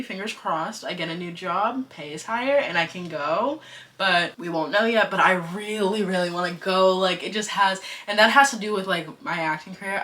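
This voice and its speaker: Young woman, bright clear tone, casual slangy vlog chatter. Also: fairly low pitch. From United States. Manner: chatty, animated, slangy and self-joking. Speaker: vlogger and podcaster.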